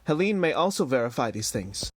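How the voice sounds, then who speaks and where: A man's voice: medium pitch, very animated, brisk, no echo. A man playing a dutiful, discreet household servant in a period audio drama, formal, deferential and earnest. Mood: grave reporting. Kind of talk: period stage-play audio drama acting.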